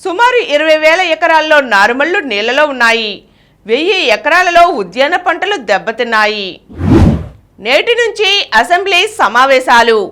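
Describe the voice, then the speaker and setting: A woman's voice: high-pitched, pushed and bright. A woman reading a scripted news bulletin as the presenter. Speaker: news reader.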